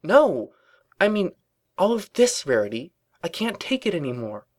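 Man putting on a high, agitated paranoid female pony. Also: sing-song, extremely slow, a bit bright, no room sound, exaggerated voice. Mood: agitated, pleading. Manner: urgent, conspiratorial, melodramatic. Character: paranoid, agitated female pony office worker, secretly rebellious.

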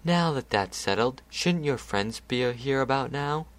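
A man voicing a smooth, scheming adviser who speaks in a dismissive way. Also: mid-range voice, animated, measured pace, natural voice.

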